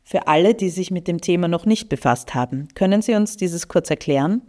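A woman's voice: lowish voice, lively intonation, brisk, darkish tone. A woman hosting a professional podcast, with a polished presentation of the event and her guest. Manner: polished, read-aloud. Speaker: podcast host. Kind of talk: scripted introduction and interview questions.

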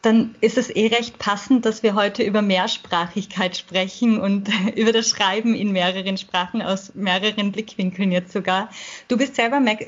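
Woman interviewer, curious and friendly; mid-range voice, dull recording. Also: mid-range voice. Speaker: podcast interviewer. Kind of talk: interview questions. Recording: dull, muffled podcast recording.

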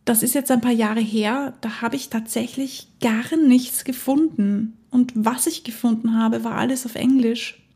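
A woman's voice: on the high side, steady tone, measured pace, dark tone, slightly rough. A woman hosting her own podcast, talking alone in a chatty, enthusiastic way and laughing often. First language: German. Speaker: podcast host. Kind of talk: casual solo podcast monologue.

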